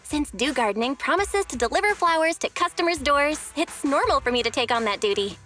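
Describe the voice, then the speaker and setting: A woman voicing a sharp, dependable young woman working as a temporary shop manager in a video game. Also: high-pitched, expressive, fairly quick, faint music behind. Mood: businesslike. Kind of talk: video game character acting.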